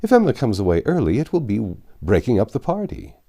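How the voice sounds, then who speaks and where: Man acting hearty middle-aged gentleman, persuasive, courteous, emphatic, pitch lifted, very animated, audiobook dialogue. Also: fairly quick, exaggerated voice. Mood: persuasive, protesting. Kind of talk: audiobook character voice.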